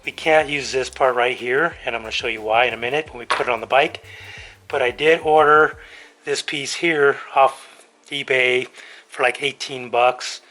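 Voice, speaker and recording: A middle-aged American man with a slightly hoarse voice, hosting a how-to video in a casual, laid-back, colloquial way. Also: mid-range voice, fairly even intonation, normal speed, pushed and bright. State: slightly hoarse from being sick. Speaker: host of a motorcycle build series. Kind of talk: casual how-to narration to viewers. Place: home garage workshop. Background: music.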